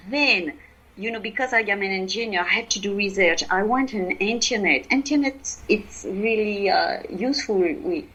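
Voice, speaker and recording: A woman with a French accent, speaking English as a second language, explaining her work as a podcast guest in halting speech full of fillers and restarts. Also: medium pitch, bright, forceful tone, dull audio. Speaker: guest, EFT practitioner. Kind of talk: podcast interview.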